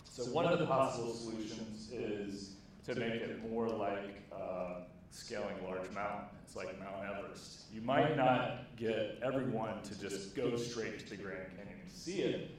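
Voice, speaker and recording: A man's voice: on the low side, a little slow, slight background noise. A man in his forties giving a short summary at a conference through a handheld mic, upbeat and asking the audience questions. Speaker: conference session presenter. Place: large conference room. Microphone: handheld microphone. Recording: echoey room heard from a distance.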